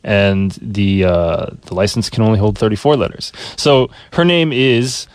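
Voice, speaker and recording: A man appearing as a regular news guest on a radio show, telling stories in a relaxed, conversational way. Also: on the low side, normal speed, no echo. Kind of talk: casual radio chat. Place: radio studio. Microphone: studio mic.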